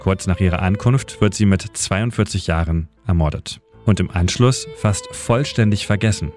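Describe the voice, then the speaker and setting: Low-voiced man narrating over music. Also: moderate pace, darkish tone. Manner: short, pointed sentences. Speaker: radio editor and city guide. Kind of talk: scripted storytelling narration.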